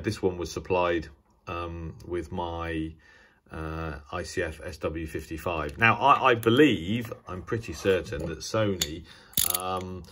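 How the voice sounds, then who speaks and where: A British man with a low voice presents a hobby video in a casual, unscripted way. His delivery is halting, with frequent restarts mid-sentence. There is faint ambient noise behind him. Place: indoors at a table.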